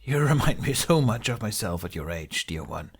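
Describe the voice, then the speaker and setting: Village elder, old woman played by a male reader, teasing, dry, very varied pitch. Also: sing-song, moderate pace, breathy edge, exaggerated voice. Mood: fond. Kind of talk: audiobook character voice.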